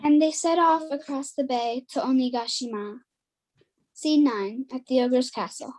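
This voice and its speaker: A woman's voice: sits high, a little slow, a little mellow. A woman narrator reading a scripted folktale as part of a dramatic performance.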